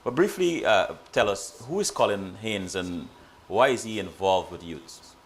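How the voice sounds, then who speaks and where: Deep-voiced man hosting an interview. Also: animated, unhurried. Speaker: show host. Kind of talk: interviewing a guest.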